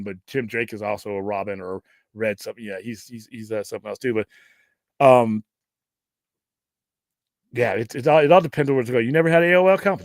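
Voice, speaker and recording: Gruff, rambling American man hosting a livestream. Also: medium pitch, no room sound, studio quality. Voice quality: gruff, self-described Cookie Monster voice. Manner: rambling, off-the-cuff, casually profane. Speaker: host of a pop culture livestream show. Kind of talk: casual livestream chat, question and answer.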